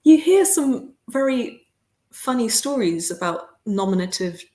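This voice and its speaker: A woman with a London accent, an articulate and expressive podcast guest in casual conversation who laughs often. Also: fairly high pitch, measured pace, reverberant. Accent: London English. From London, England. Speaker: podcast guest, theatre maker.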